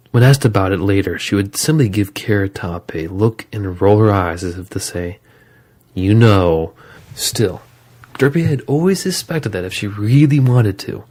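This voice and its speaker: A man with a low voice narrates a scripted horror story. He tells it dramatically, as the narrator of an audio drama. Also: darkish tone.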